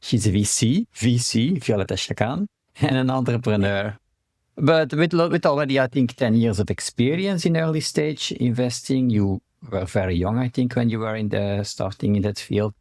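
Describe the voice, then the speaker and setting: A man's voice: medium pitch, normal speed, darkish tone, no echo. Rambling male podcast host, dry sound. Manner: loose, rambling questions with "you know". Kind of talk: conversational interview.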